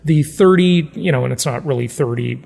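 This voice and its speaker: A man's voice: medium pitch, fairly quick, creaky, a little mellow, breathy edge, in a large hall. A man hosting a podcast in a casual, conversational way. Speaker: podcast host.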